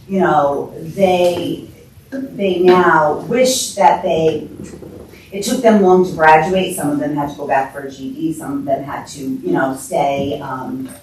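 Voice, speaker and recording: Lady with a low voice at a public meeting, hesitant, pausing a lot, far from the mic. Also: fairly even intonation, darkish tone. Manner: hesitant, pausing between phrases. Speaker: former teacher, board candidate. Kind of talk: formal interview answer at a public meeting. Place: indoor council meeting room. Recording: very echoey, distant mic, faint noise.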